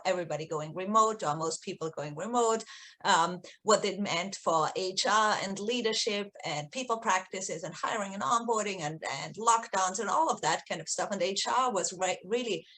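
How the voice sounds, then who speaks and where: A woman's voice: mid-range voice, normal speed, pushed and bright. Middle-aged woman with an Austrian accent. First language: German. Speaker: research executive, interview guest. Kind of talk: conversational explanation in an interview.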